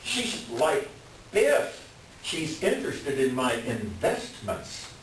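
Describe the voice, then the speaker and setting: A man playing a hapless middle-aged swindler doctor in a staged reading, pleading and self-deprecating, with a halting, wheedling delivery. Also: fairly high pitch, huge pitch swings, heavily acted. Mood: smooth, evasive. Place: echoey room, far from the mic. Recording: distant echoey room with hiss.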